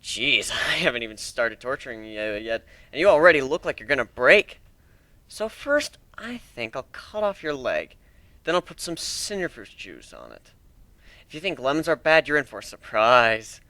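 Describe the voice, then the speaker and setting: Man playing a cocky, menacing griffin, bright and animated. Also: medium pitch, moderate pace, dry recording. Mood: taunting, menacing. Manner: taunting, sarcastic, swaggering. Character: cocky, menacing male griffin warrior and interrogator.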